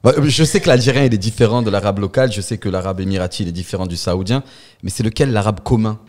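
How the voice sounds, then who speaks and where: A man hosting a podcast interview, prompting his guest with quick follow-up questions and steady listening sounds. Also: lowish voice. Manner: rapid, with quick prompting questions and back-channel. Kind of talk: casual interview chat.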